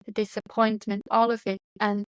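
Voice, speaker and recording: A woman's voice: medium pitch, flat delivery, moderate pace, smooth, no echo. A woman with a Swiss accent in her thirties, talking as an interview guest in an earnest, searching way with restarts. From Switzerland. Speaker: guest, medium and coach. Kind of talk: casual interview conversation.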